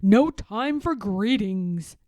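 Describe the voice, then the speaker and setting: A woman's voice: mid-range voice, animated, takes it slowly, dark tone, dry recording. A woman voicing an exasperated, fretful male peddler from a storybook, with an emphatic, wailing delivery. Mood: wailing, upset. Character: exasperated, fretful male cap peddler who loudly complains. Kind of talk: storybook character voice.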